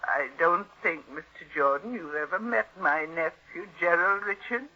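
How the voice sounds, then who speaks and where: An actress playing an ailing, imperious older aunt who is secretly scheming, husky and deliberate, in 1940s radio style. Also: female voice, fairly low pitch, bright, forceful tone. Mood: grave, insistent. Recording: muffled old radio recording.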